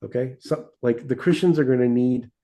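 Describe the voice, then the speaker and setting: A man in casual discussion, mid-pitched with a mellow, dark tone. He rambles and restarts often, checking in with "right?" and "okay?" to keep the listener with him. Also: normal speed. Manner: rambling, many restarts, seeks agreement with tag questions. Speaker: podcast co-host.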